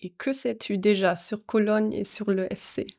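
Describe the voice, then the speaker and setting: A woman's voice: medium pitch, fairly even intonation, normal speed, vocal fry, darkish tone. A woman interviewing a footballer, reading out prepared questions. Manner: reads prepared questions, friendly laughs.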